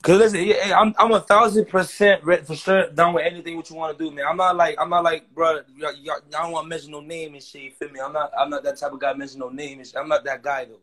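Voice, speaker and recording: A man's voice: on the high side, steady tone, fast talker, hardly any pauses, a bit bright. A man in his twenties chatting as a guest on a phone livestream, slangy and insistent, stumbling over repeated restarts. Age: 20s. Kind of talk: casual chat. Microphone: phone front camera.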